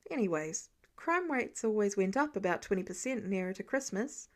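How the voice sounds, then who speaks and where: A woman reading scripted fiction aloud as an expressive audio story narrator. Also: medium pitch, moderate pace. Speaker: podfic narrator.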